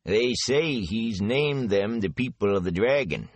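A man voicing a gossipy, rambling rustic gatekeeper with folksy country speech, high and lively. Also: a little slow, no room sound, full character voice. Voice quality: folksy dialect, rambling and eager.

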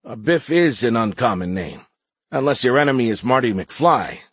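A man's voice: medium pitch, expressive, moderate pace, slightly rough, no echo. A man voicing a casual, joking young male soldier in an audiobook. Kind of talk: audiobook character voice.

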